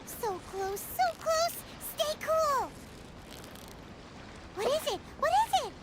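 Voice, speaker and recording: A woman voicing a tiny, excitable, always hungry fairy girl with a squeaky childlike voice, in anime video game style. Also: slow pace, noisy background, exaggerated voice. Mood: excited, cheering. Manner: exclaiming, cheerleading, speaks of self in third person. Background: game music.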